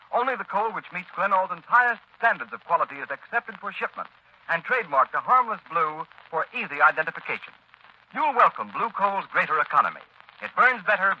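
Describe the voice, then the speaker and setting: A muffled old radio broadcast of a male announcer selling hard. His voice is high, forceful and swooping, with emphatic salesman delivery. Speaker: radio announcer reading a commercial. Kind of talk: 1930s-40s radio advertising read.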